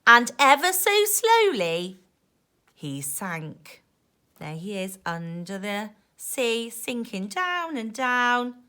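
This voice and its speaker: A woman teacher reading a rhyming picture book to young children in an expressive, sing-song way, with asides to her listeners. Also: fairly high pitch, slow pace. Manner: animated, emphatic, sing-song rhyming delivery.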